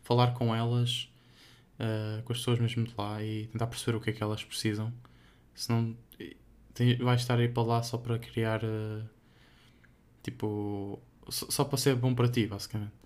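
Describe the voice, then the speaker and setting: A man's voice: medium pitch, flat delivery, moderate pace, darkish tone. A young man with a European Portuguese accent chatting casually as a podcast co-host, rambling and hesitant, with many fillers.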